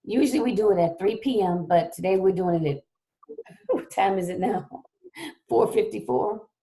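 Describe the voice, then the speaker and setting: A woman hosting a livestream talk show, hoarse from a recent cold, chatty and often checking in with her viewers. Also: medium pitch, normal speed, warm tone. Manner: chatty, keeps checking in with "okay?". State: hoarse, getting over a cold. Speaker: show host.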